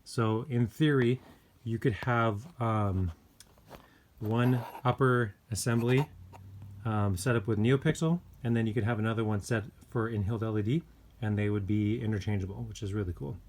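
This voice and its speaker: Man, informal hands-on product reviewer, hesitant and pausing mid-thought, recorded with noticeable background noise. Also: on the low side, fairly even intonation, a little mellow. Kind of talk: casual hands-on gadget review. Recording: clear background noise with clicks.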